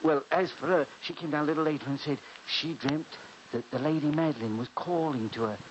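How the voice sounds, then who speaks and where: An actor playing a superstitious, rambling household servant in 1940s radio horror, deferential, folksy and dithering. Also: male voice, fairly high pitch, fairly quick, dull audio, close to an everyday voice. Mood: grumbling, rambling. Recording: faintly noisy 1940s radio recording.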